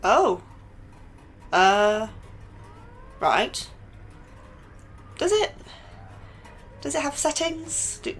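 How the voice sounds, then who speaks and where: Anxious, doubtful young-sounding scientist, music behind. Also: female voice, fairly high pitch, gravelly, heavily acted. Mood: flustered, hesitant. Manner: hesitant, doubtful. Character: anxious, sceptical woman astrobiologist new to the facility. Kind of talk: sci-fi horror audio drama acting.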